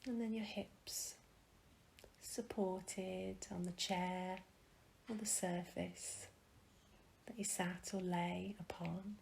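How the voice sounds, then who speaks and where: A woman leading a guided meditation in a soft, breathy, soothing manner with gentle pauses. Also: fairly low pitch, steady tone, slight background noise, low-quality recording.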